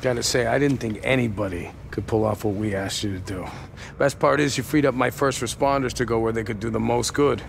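Man playing a very gravelly American police captain. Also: mid-range voice, brisk, slight background noise, natural voice. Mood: approving, weary. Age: middle-aged. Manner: plainspoken, understated. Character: weary, gruff middle-aged New York police captain. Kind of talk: video game cutscene acting.

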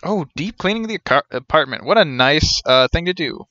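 A man's voice: on the high side, lively intonation, brisk, sharp tone, no room sound, muffled recording. A young man giving casual, rambling live commentary as he streams a game.

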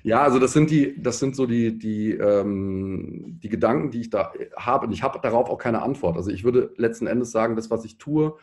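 A man's voice: mid-range voice, moderate pace, few pauses, a little mellow. A man in his thirties speaking reflectively as a panel guest, searching for words and often rephrasing mid-sentence. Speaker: panel guest, pop musician.

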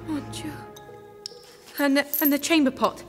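Young captive maiden, echoing. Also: female voice, sits high, fairly even intonation, unhurried, faint music behind. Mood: dismayed. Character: devout young peasant maiden held prisoner. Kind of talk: audio drama acting. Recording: long echoing reverb.

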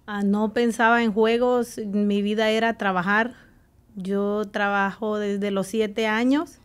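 A woman's voice: medium pitch, little pitch movement, slow pace, clear, resonant tone. A middle-aged woman from El Salvador with a Salvadoran accent, telling her own life story as an interview guest in a studio. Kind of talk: recounting personal life in conversation. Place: small radio studio. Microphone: close desk microphone with headphones.

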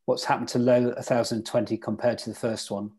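Husky man teaching over a video call. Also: mid-range voice, little pitch movement, brisk, darkish tone. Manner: interactive, quizzes the audience. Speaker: sailing weather instructor. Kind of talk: online club lecture.

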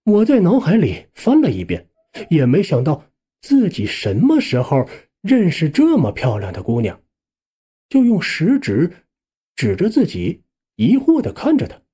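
Animated male audiobook narrator, colloquial storyteller. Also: fairly high pitch, a little slow, husky. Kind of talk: first-person scripted narration of a suspense novel.

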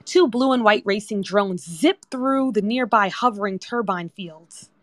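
A woman's voice: medium pitch, lively intonation, a little slow, dry recording, studio quality. A woman narrator reading screenplay directions as scripted narration. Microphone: remote audio chat app.

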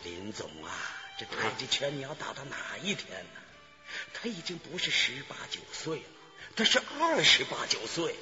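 A man's voice: mid-range voice, very animated, normal speed, pushed and bright. An actor playing a scheming, hot-headed henchman in a radio drama, coaxing and emphatic in a conspiratorial way. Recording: muffled broadcast with music behind.

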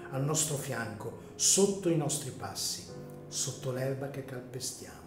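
A man narrating a gothic radio drama in a solemn, theatrical manner with deliberate phrasing. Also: mid-range voice. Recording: echoey room, music behind.

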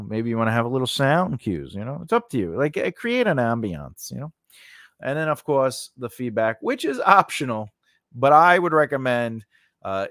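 A man's voice: mid-range voice, a bit bright, no room sound, clean studio sound. A man teaching an informal, unscripted tutorial in a conversational way, often repeating phrases and checking in with the listener. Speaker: instructor and host of a writing lesson.

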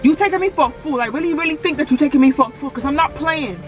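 Insistent young woman with a high voice. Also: very fast, muffled recording, over music. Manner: insistent, repeats questions, slangy. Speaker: couple vlogger. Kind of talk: casual, confrontational banter. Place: inside a parked car.

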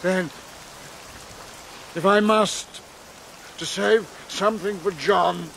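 An actor playing an old, embittered, defeated English king in a historical radio drama, proud and solemn in halting phrases. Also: sits high, steady tone, creaky, a bit bright, slight background noise, exaggerated voice. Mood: grudging, solemn. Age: older.

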